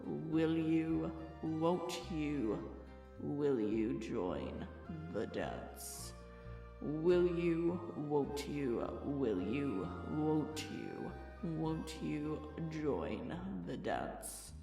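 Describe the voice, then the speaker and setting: Creaky, very slow, sorrowful Mock Turtle, acted out. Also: medium pitch, sing-song, warm tone, heavily acted. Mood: slow, solemn, sad. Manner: slow, drawn-out, mournful delivery. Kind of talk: audio drama acting. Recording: roomy, distant mic, music underneath.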